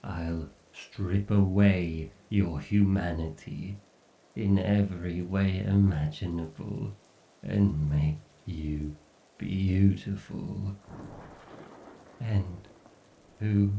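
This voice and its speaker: Evil ai god for horror asmr, possessive n menacing, super slow, gravelly, echoey muffled sound. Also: male voice, low voice, fairly even intonation, distant mic, slight background noise, full character voice. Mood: possessive, sadistic. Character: obsessed, sadistic, godlike male AI tormenting its captive human. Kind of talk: horror ASMR roleplay acting.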